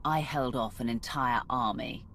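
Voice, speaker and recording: A battle-hardened female commander for a video game, low in pitch and dryly menacing. She sounds smug and ruthless, with a faint bed of noise behind her. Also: unhurried, fairly bright tone. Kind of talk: video game cutscene acting.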